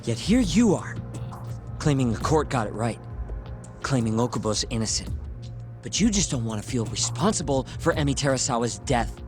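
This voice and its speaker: A male actor as a blunt, hot-tempered skeptic in a crime drama game. His delivery is casual and confrontational, with lively swings in a mid-pitched voice. Music plays clearly behind him. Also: normal speed, lightly acted. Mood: accusing, heated. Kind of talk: dramatic video game voice acting.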